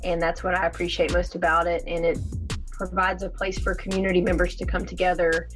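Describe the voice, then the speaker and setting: A woman's voice: lowish voice, level tone, brisk. A woman giving an earnest promotional testimonial. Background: faint music.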